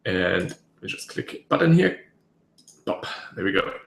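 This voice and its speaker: Raspy-voiced man rambling in an echoey room. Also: sits low, very animated, moderate pace. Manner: rambling, many fillers and restarts. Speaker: webinar host. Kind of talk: casual live webinar talk.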